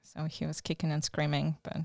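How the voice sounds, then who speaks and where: A woman's voice: low voice, completely flat delivery, a little fast, vocal fry, a little mellow, dry recording, clean studio sound. A woman in her thirties with a Ukrainian accent, speaking as an interview guest, thoughtful and hesitant with many fillers. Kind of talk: casual interview.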